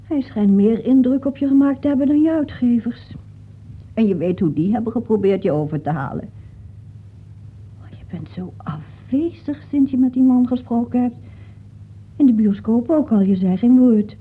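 Anxious wife on narrow-band old radio. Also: female voice, a little high, normal speed, husky. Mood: probing, concerned. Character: anxious, inquisitive wife of a writer. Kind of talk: Dutch radio play acting. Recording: muffled, noisy old radio play recording.

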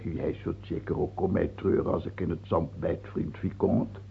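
A man playing a paranoid, capricious king in an old radio play, husky and creaky, repeating himself and swinging between wheedling and shouting. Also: low voice, fairly even intonation, normal speed, slight background noise. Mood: suspicious, mocking. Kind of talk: old radio drama acting. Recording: muffled, phone-like old radio recording.

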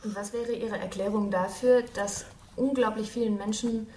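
A woman's voice: mid-range voice, steady tone, normal speed, mellow. A woman interviewer putting considered questions to a guest. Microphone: off-mic, away from the recorder. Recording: echoey, distant from mic, faint noise.

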